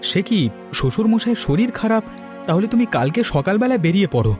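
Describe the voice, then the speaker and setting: A man playing a supportive young husband in a cartoon, firm and quick to protest. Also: high-pitched, very animated, a little mellow, soft background music. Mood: concerned, reassuring. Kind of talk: Bengali cartoon voice acting.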